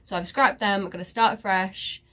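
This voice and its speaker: A woman's voice: mid-range voice, steady tone, normal speed, bright, forceful tone. A woman vlogger giving a casual life update, her nose stuffy and congested, rambling and sarcastic about herself. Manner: sarcastic, self-mocking, rambling with many fillers.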